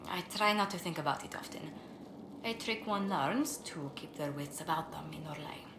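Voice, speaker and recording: Gracious, wistful noble envoy, game dialogue; lower register, noisy ambience behind. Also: female voice, moderate pace, reverberant. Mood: reflective, gracious. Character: gracious, refined woman ambassador, diplomatic and wistful. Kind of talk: video game dialogue acting.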